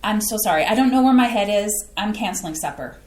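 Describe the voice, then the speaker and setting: A woman playing an excitable, fussy Midwestern housewife and mother in a staged play reading. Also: mid-range voice, quick pace, reverberant, a little hiss or hum, lightly acted. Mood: tender. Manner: flustered, fussy and eager. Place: theatre stage.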